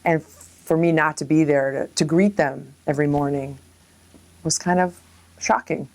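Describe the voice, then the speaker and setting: A woman's voice: sits low, steady tone, a little slow, a little mellow. A woman reflecting on personal experiences in an interview, informally, acting out bits of remembered speech. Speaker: local resident interviewee.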